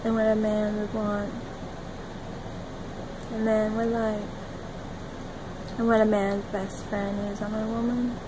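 A young woman talking to her phone camera in a small room, rambling dreamily and giggling, jumping between loud bursts and soft murmurs. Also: medium pitch, level tone, takes it slowly, long pauses, noisy background. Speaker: vlogger. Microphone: phone camera at arm's length.

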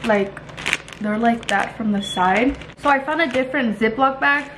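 High-voiced young woman, noisy kitchen vlog. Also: moderate pace. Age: young adult. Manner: informal, chatty, occasionally crude. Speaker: home baking vlogger. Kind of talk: casual instructional chat to viewers. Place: small home kitchen, indoors. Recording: clearly noisy home kitchen audio with clicks.

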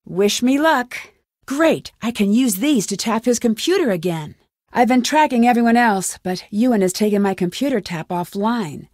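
A woman's voice: on the high side, expressive, normal speed, a little mellow, no room sound, studio quality. An American woman playing a determined young amateur detective in a video game, assertive and questioning. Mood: businesslike, curious. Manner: assertive, questioning, clearly enunciated. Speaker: game protagonist.

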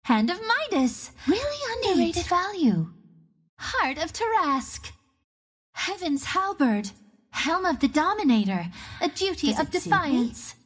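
A woman's voice: very high voice, very animated, moderate pace. A woman playing a cocky, playful young archer heroine of the wind in a video game, with a breezy, sassy, theatrical delivery. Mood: excited, sassy. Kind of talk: video game hero voice lines.

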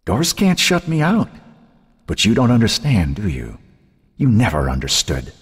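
Man voicing a high, venomous ghostly sister. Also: wildly swooping pitch, a little slow, husky, in a large hall, exaggerated voice. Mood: mocking. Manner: taunting, relentless, venomous. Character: mocking, venomous dead sister, taunting ghostly woman. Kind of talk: audiobook character voice.

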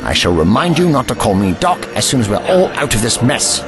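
A man's voice: medium pitch, very animated, brisk, music in the background, lightly acted. A man playing an imperious, irascible old time traveller in an audio drama, with pompous, old-fashioned, scolding speech. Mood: urgent. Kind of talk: amateur audio drama acting.

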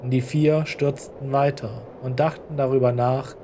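Guy narrating a story, soft dark tone, medium pitch. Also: steady tone, unhurried, a little hiss or hum.

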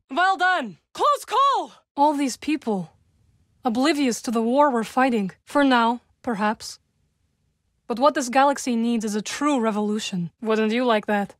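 A woman playing a solemn, guarded young witch in a video game, with dry wit and measured, formal phrasing. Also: a little high, no echo, close to an everyday voice. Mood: urgent, rousing, then grave, resolute. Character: young adult witch, solemn, guarded, dryly wry rebel. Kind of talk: video game character dialogue.

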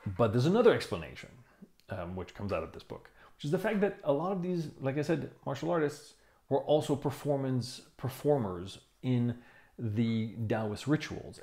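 A man in his fifties giving a casual explanation to camera, thoughtful and halting, stressing key words. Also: medium pitch, very animated, mellow. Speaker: tai chi teacher reviewing a book. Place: small room indoors. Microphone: lapel mic.

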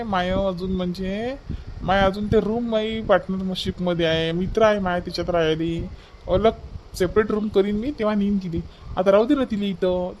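A man's voice: high-pitched, darkish tone, a little hiss or hum, lightly acted. A man voicing a breezy young married son in a cartoon family comedy, insistent and reassuring, with a Vidarbha Marathi accent.

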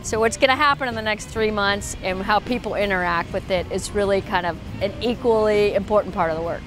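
A woman's voice: medium pitch, a little fast, over music, low-quality recording. A woman sculptor and architect talking casually about her piece in an outdoor park interview. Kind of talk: on-camera interview.

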